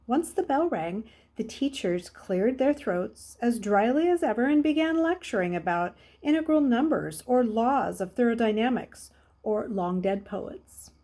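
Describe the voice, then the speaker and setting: A woman's voice: a little high, warm tone. A woman reading a children's novel aloud as a storyteller. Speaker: story reader.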